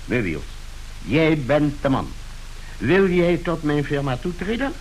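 High-voiced, brusque tycoon. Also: male voice, big pitch swings, unhurried, rough recording. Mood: businesslike, commanding. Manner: curt, commanding. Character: brusque, domineering male industrialist father, shrewd and businesslike. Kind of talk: radio play acting. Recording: hissy old radio recording.

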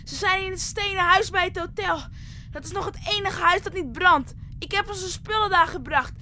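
Grim young boy, really high voice, noisy behind. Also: moderate pace, pushed and bright. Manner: urging, grim. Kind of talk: radio drama acting.

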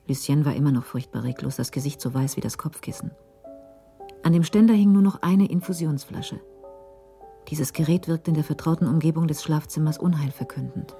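A woman's voice: low-pitched, warm tone, breathy edge. A woman reading scripted narration as the storyteller of a German radio crime drama.

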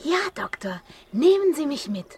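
An actress playing a polite, proud talking antelope-like beast in a children's radio play. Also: female voice, high-pitched, big pitch swings, moderate pace, darkish tone. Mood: politely proud. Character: rare two-headed antelope-like beast, polite and proud of its ancestry.

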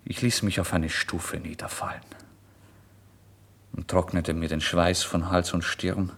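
A man's voice: low voice, level tone, unhurried, exaggerated voice. An actor playing a starving, proud and agitated down-and-out man in a dramatic literary radio monologue. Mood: hushed, exhausted brooding. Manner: dramatic, swinging from hushed brooding to indignant outbursts.

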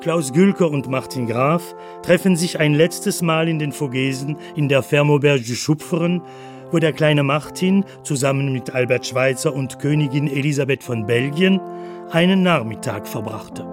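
A man's voice: fairly high pitch, moderate pace. A man reading scripted radio narration in a sober, factual way. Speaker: narrator and announcer. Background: prominent guitar music.

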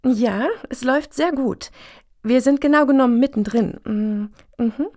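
An actress in a satirical radio play as a self-important, gushing middle-aged woman pitching her initiative with earnest jargon. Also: measured pace, a little mellow, dry recording, clean studio sound. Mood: chatty.

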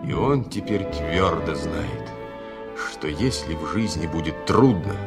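A man's voice: sits low, expressive, a little slow. A man reading dramatic, literary narration for a 1970s radio play. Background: music.

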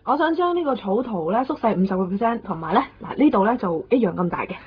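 A woman with a Hong Kong Cantonese accent acting a brisk copy-shop customer in a radio drama. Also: medium pitch, lively intonation, natural voice. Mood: businesslike. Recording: dull recording, faint background noise.

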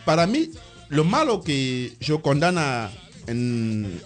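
A man from Cameroon, a radio interview guest who talks in halting restarts and keeps checking that he is understood. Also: a little high, big pitch swings, soft background music.

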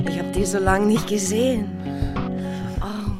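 A woman's voice: mid-range voice, natural voice. An actress playing a flirtatious, affectionate woman entertainer in a radio drama, coquettish and teasing. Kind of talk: German radio drama acting. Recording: loud music behind the voice.

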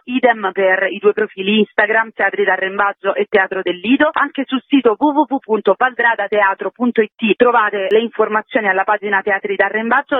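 A woman's voice: medium pitch, no room sound. A woman, a festival curator, giving programme details in a radio interview. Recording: tinny phone line on radio.

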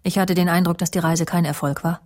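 Actress as sardonic woman narrator, radio crime drama, husky, lowish voice, proud, dry delivery. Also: fairly even intonation, fast, darkish tone, lightly acted. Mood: troubled, reflective. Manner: dry, sardonic, self-assured. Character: proud, sharp-tongued woman TV presenter narrating her story. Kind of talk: German radio crime drama, intimate first-person narration and dialogue.

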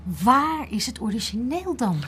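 An actress playing a polite, inquisitive companion in a radio drama. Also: female voice, a little high, very animated, unhurried, fairly bright tone, a little hiss or hum. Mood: inquiring. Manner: polite, questioning. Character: polite, inquisitive female companion of old man.

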